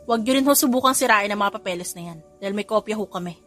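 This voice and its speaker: A woman's voice: medium pitch, racing, fairly bright tone, lightly acted. A serious male assistant who delivers bad news in a firm, formal and polite way, as a female narrator reads him. The voice stays close to her plain narrating tone, with faint music underneath the audiobook. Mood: stern.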